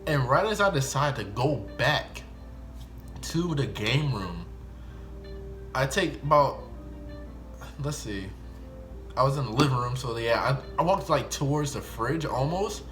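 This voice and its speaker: A young man with an American accent telling a casual, slangy first-person story in an animated way, acting out bits of dialogue. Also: mid-range voice, a little slow, long pauses, soft background music. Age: young adult. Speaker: YouTube storyteller.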